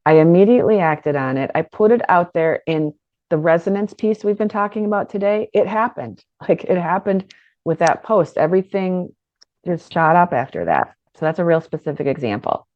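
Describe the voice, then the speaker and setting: A middle-aged woman teaching an online business course in a lively, conversational way, with frequent restarts. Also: lowish voice, normal speed, no room sound, lo-fi sound. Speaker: business coach.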